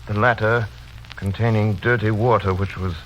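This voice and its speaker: A man acts a sombre gothic horror narrator in old radio style. The voice is low, heard through a dull, clearly noisy vintage recording. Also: fairly even intonation, normal speed, a bit bright, lightly acted. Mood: grim. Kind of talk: 1930s radio drama narration.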